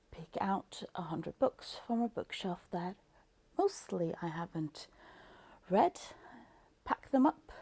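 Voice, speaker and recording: A woman with a Norwegian accent talks through a book review at an unhurried pace. Her low, mellow voice carries a gravelly edge. The sound is close and dry, and she often stops to search for the right word. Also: no room sound. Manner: thoughtful, searching for words with many fillers. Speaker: book vlogger. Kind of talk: casual book review talk.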